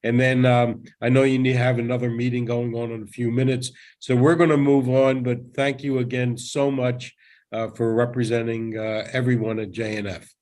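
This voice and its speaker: A man moderating a live online briefing, who thanks speakers and introduces the next one in a friendly, halting way with many fillers. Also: mid-range voice, level tone, darkish tone.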